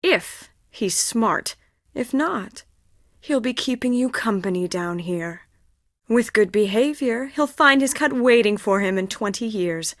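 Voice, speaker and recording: A woman voicing a coldly gloating, scheming video game villainess, deliberate and smug, at times dropping to a breathy hush. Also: fairly high pitch, expressive, dry recording, studio quality. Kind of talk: dramatic video game voice acting.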